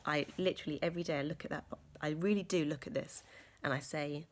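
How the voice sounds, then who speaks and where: A woman, croaky from a sore chest, talking earnestly in a reflective vlog with many ums and restarts. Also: deep voice, fairly even intonation, fast talker. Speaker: vlogger.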